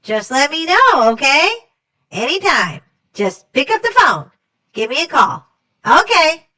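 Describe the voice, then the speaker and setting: Woman voicing a high, bright, clingy monster. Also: sing-song, a little slow, distant mic, heavily acted. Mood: eager, clingy. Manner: chummy, insistent, clingy. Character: eager, chatty, high-voiced rejected storybook monster.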